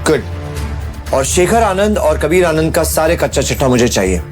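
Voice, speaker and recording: A man's voice: a little high, big pitch swings, normal speed. A man playing a cool-headed, authoritative new company owner in an audio drama, speaking firmly and curtly. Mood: firm, commanding. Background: clear background music.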